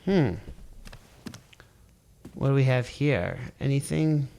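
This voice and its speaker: A man's voice: mid-range voice, animated, slow, vocal fry, dark tone, slight background noise. A man playing a video game, muttering, swearing at it and reading its text aloud. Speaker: let's play gamer. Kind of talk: casual let's play commentary, reading game text aloud.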